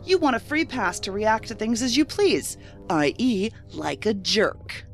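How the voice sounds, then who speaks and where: An actress playing a skeptical, sharp-tongued federal agent in a science fiction audio drama, blunt and challenging with a sardonic edge. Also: female voice, a little high, big pitch swings, measured pace. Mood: sardonic, confrontational. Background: music under the voice.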